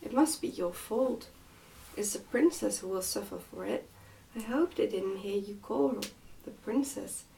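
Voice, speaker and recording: A woman's voice: medium pitch, unhurried, creaky, dark tone, distant mic, a little hiss or hum, poor audio. A woman voicing a plain-spoken, confident young miner boy in a storybook, frank and helpful. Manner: frank, matter-of-fact.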